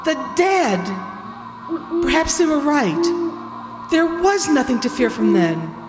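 Older lady playing scared wife in old radio mystery, high voice, dramatic, big echoey hall. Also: big pitch swings, heavily acted. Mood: dread. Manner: halting, anxious, dramatic. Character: frightened, distraught wife narrating a horror tale. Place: stage in a hall, live reading. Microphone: stand microphone. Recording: echoey hall stage performance, music behind.

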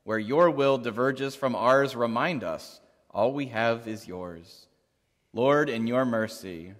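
A man leading a congregation through the creed and prayers with measured, liturgical recitation. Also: mid-range voice. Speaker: worship leader. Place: large church sanctuary. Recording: long church reverb.

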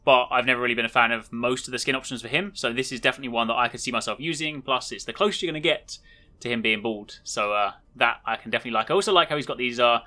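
Man, casual game commentary, video host, very sharp bright voice. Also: medium pitch, rapid-fire.

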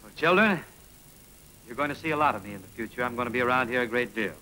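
A good-humored parish priest, relaxed and teasing. His voice rises and falls with lively, sing-song turns. The old film soundtrack carries a faint hiss. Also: medium pitch, fast talker, rough recording. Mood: jovial. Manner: relaxed, joking, lively intonation. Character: easygoing genial male priest from Missouri. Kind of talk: 1940s film acting.

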